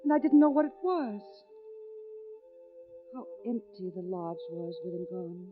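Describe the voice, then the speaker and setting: An actress playing an anxious, devoted wife in a 1940s radio suspense drama, speaking in a dramatic, deliberate manner. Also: female voice, lowish voice, lively intonation. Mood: brooding, uneasy. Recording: vintage radio, phone-like band, music behind.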